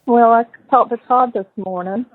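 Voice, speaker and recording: A woman's voice: fairly high pitch, moderate pace, dry recording. A middle-aged woman talking casually on a recorded phone call. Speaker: mother on a call to her son in jail. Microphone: telephone.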